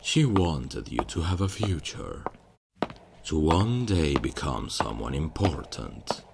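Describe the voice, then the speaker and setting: A man acting a kindly, fatherly old archmage in a fantasy ASMR roleplay, speaking in a gentle, solemn, measured way with a gravelly, husky voice. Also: very deep voice, sing-song, heavy background noise, full character voice. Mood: fond, proud. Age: older.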